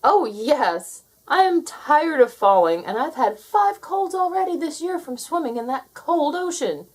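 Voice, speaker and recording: A woman voicing an excitable young male seagull in a children's storybook, self-doubting but eager, with plaintive, dramatic exclamations. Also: sits high, a little slow, pitched above the performer's own voice. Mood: weary, eager.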